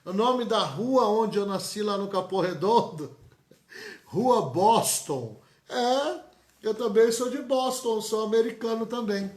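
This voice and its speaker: Echoey-voiced São pastor. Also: male voice, sits high, slow pace, a little mellow. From São Paulo, Brazil. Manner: emphatic and repetitive, folksy asides to viewers. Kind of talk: live-streamed church announcements and blessings. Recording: echoey church room.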